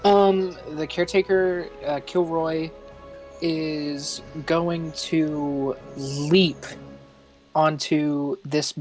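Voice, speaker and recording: Hesitant, slow-talking tabletop player. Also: mid-range voice, steady tone, darkish tone, soft background music, rough recording. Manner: hesitant, halting. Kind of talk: casual game talk.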